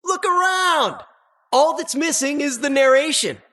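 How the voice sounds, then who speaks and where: A man's voice: very high voice, expressive, unhurried, pushed and bright, exaggerated voice. A man playing a boastful, theatrical villain showman in a video game, gloating exuberantly over his deadly lair. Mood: gleeful boasting. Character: gleeful hammy villain showman, proud of his deadly grotto.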